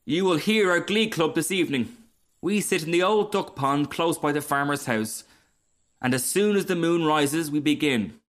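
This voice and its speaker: A man as a high-pitched, chatty little frog. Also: expressive, moderate pace, a bit bright. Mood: cheerful, boastful. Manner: complacent, garrulous, genteel. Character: cheerful, chatty, self-satisfied little male frog. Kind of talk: storybook character reading.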